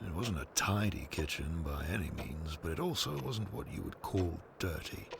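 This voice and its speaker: Low gravelly guy narrating a story real slow, noisy background ambience. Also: animated. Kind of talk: scripted storybook narration for audio drama.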